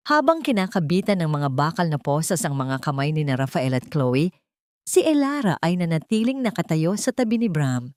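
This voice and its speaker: Female narrator for dramatic audio story, lower register, dry recording. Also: darkish tone, no room sound.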